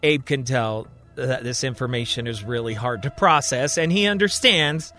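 A man narrating a scripted serial drama as a storyteller, deliberate and suspenseful. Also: medium pitch, bright, forceful tone, faint music behind.

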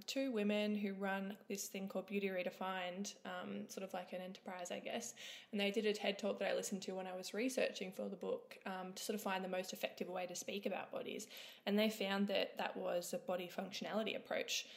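A young woman in her twenties speaks at a middling pitch as a guest author. She answers casually and candidly, tentatively working out her thoughts as she goes. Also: vocal fry. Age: 20s.

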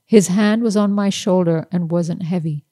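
A woman reads her own short story aloud, measured and unhurried. Her voice is low and mellow, with a soft, rounded tone. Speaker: author reading her story. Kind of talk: literary reading aloud.

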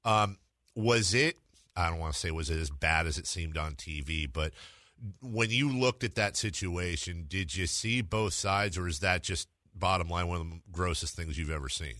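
A man's voice: sits low, normal speed, pushed and bright, no room sound, clean studio sound. A man hosting a sports radio show, putting conversational questions to a guest.

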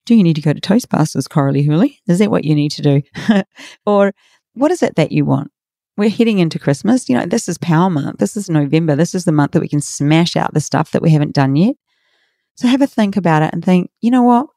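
A middle-aged woman hosts a motivational podcast, her voice raspy and hoarse. She talks in an earnest, encouraging way, her tone mellow and warm. The recording is dry and close, with no room sound. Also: on the low side, expressive, brisk, no room sound. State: hoarse from a workshop. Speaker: podcast host and coach.

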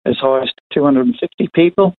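An older Australian man from Queensland answering interview questions in a plain-spoken, conversational way, pausing between short phrases. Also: fairly high pitch, no echo. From far north Queensland, Australia. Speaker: interview guest, retired sugarcane farmer. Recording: narrow phone-like line, dry.

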